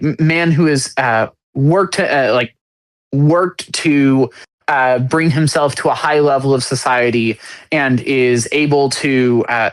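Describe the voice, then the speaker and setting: A man co-hosting a casual podcast conversation, working out his argument aloud with many restarts. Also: medium pitch. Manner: halting, searching for words with many restarts. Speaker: podcast co-host.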